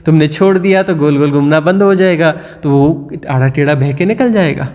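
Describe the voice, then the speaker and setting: Male spiritual teacher, higher voice, mellow tone, repetitive discourse in a reverberant hall. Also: normal speed. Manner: repetitive, mimics inner self-talk, rhetorical questions. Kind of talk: satsang discourse.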